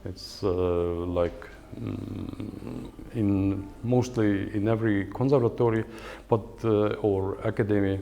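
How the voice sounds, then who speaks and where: Slow, halting Estonian-accented man lecturing. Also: lowish voice, fairly even intonation, creaky, dark tone, a little hiss or hum. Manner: hesitant, many fillers and pauses. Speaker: guitar professor giving a lecture. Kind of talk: lecture with halting non-native English. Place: lecture hall at a music academy.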